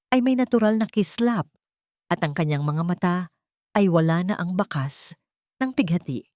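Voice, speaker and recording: A woman's voice: lowish voice, a little mellow, dry recording, clean studio sound. Woman storyteller, dramatic and widely swinging intonation. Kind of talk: scripted dramatic narration.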